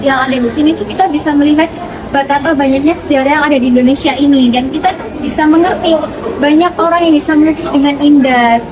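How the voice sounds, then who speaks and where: High, quick young woman in noise. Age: young adult. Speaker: interviewee, museum visitor. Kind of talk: informal on-location interview answers. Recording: clearly noisy public-place recording.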